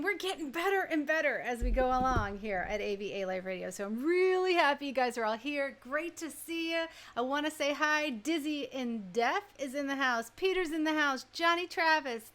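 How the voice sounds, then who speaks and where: A woman's voice: high-pitched, animated, normal speed, a bit bright. A woman hosting a casual livestream music show, chatting effusively and enthusiastically to her listeners. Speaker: host, DJ.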